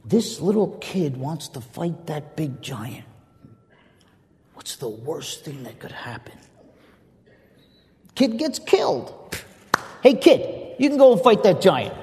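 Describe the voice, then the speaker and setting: A man's voice: fairly high pitch, big pitch swings, slow, long pauses, a little mellow, heavily acted. A man voicing a blunt, doubtful king who scoffs at a boy. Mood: musing. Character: doubtful middle-aged king, blunt and scornful. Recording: long echoing hall reverb.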